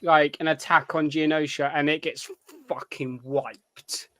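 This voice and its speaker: A man's voice: on the high side, steady tone, unhurried, sharp tone. A man co-hosting a podcast in casual fan chat, retelling scenes eagerly with some swearing. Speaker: podcast co-host.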